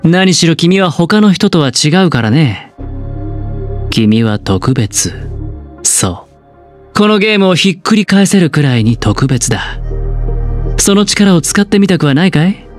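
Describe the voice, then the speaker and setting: A man voicing a suave, smug young gambler in a video game, with a smooth voice and a teasing, calculating, theatrically polite charm. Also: a little high, very animated, a little slow, long pauses, faint music behind. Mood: low, tempting.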